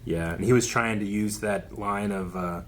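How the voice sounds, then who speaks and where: A man's voice: lowish voice, moderate pace, slight background noise. A man co-hosting a reaction show, chatting casually. He agrees often and thinks aloud with plenty of "uh" and "you know". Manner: agreeable, many backchannels, hesitant with "uh" and "you know". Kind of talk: casual chat.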